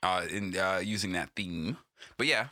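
A man co-hosting a casual podcast, animated and joking with lots of slang. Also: low voice, unhurried. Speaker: podcast co-host. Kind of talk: casual chat.

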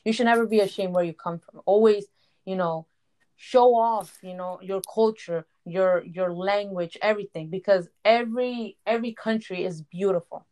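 A woman's voice: medium pitch, normal speed, mellow. A woman, an aspiring wrestler, talking in a rambling casual way full of "you know". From United States. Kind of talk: casual interview conversation.

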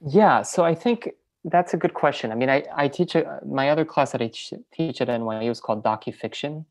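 A woman filmmaker and teacher, in a relaxed interview, who talks in loose, rambling sentences full of fillers and restarts. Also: rumbling low, fairly quick. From French Venezuelan, raised in New York. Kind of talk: casual interview conversation.

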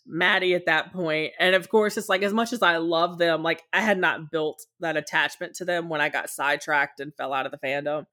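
A young woman hosting a casual podcast call, with a voice pitched a little low. She tells her stories with animated energy and pokes fun at herself. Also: fairly quick, hardly any pauses. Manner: animated storyteller, self-deprecating. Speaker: podcast host. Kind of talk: casual chat over a call.

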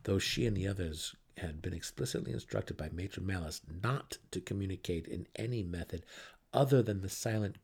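A man reading a fantasy audiobook as a dramatic narrator, with theatrical, deliberate emphasis. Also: sits low, darkish tone, breathy edge.

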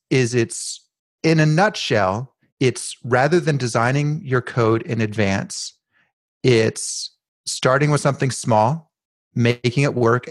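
A man speaks as a guest on a tech podcast, explaining a software design idea in a measured, thoughtful way. His voice sits at a middle pitch, and the recording is dry, close and dead. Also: dry recording.

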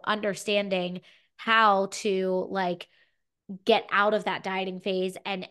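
A woman's voice: medium pitch, a bit bright. A young adult woman co-hosting a fitness podcast, giving casual advice with lots of "like" and "you know", a little stuffy from a cold. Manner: chatty, frequent "like" and "you know". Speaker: podcast co-host, fitness coach.

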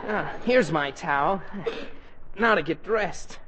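A man playing a dreamy, excitable teenage boy in a 1940s radio comedy, groggy and given to exclaiming. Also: high voice, takes it slowly. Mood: groggy muttering. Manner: groggy, exclamatory, talking to himself. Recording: noisy vintage 1940s radio broadcast.

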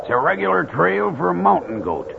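An actor playing a scheming, confident American outlaw boss in a radio western. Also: male voice, sits high, sing-song, brisk, gravelly, full character voice. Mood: sly, commanding. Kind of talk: 1950s radio western acting. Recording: tinny, very noisy old radio broadcast.

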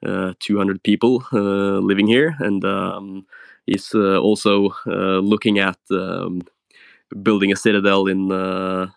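A man from Norway speaks slowly, with many halting fillers. His voice is low and gravelly with a flat, even pitch. The sound is dry and close. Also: no echo. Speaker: guest speaker. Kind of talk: casual chat on a live audio room.

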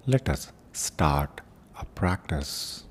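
A man leading a guided meditation in a solemn, deliberate manner, speaking in short phrases with long pauses between them. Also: very deep voice, expressive, slight background noise. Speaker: meditation teacher and podcast host. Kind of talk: guided meditation with prayerful invocation.

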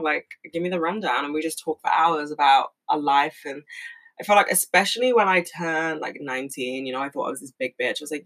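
A woman in her twenties talking casually and frankly, swearing a lot and rambling with many fillers, in a fairly monotonous voice. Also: low-pitched. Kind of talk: casual monologue.

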